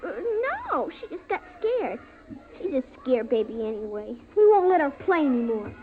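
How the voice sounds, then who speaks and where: A woman playing an excitable, defiant little girl with a breezy, evasive childish manner in an old-time radio drama. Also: high-pitched, moderate pace, phone-quality sound. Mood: evasive, insistent. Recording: thin, noisy vintage radio recording.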